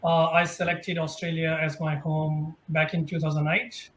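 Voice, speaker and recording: Flat-voiced man, echoey room. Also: a little high, normal speed, a little hiss or hum. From India. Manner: earnest and flat-toned, with many uhs. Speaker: new citizen. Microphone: video call. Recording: echoey room over a video call.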